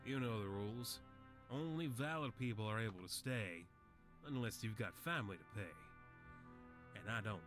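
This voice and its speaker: A man voices a sickly old slum veteran for a video game. His low voice is weary and gentle, resigned to his fate. Music plays clearly underneath. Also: moderate pace, lightly acted.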